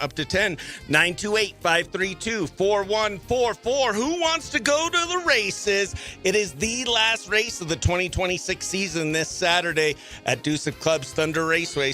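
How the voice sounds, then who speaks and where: Bright, high-voiced man hosting morning radio. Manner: excitable, chatty, playful. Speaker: morning radio show host. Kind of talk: lively radio host banter and giveaway patter.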